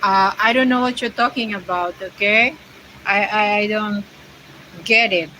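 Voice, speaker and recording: A woman's voice: mid-range voice, fairly even intonation, unhurried, pushed and bright, a little hiss or hum, low-quality recording. A woman from the Philippines with a Filipino accent, chatting casually on her livestream and reading viewer comments in hesitant English full of fillers. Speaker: vlogger hosting a livestream.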